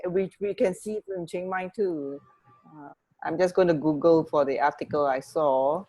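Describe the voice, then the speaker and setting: A woman co-hosting a radio show in casual chat, talking in a chatty, halting, rambling way. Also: low voice, warm tone.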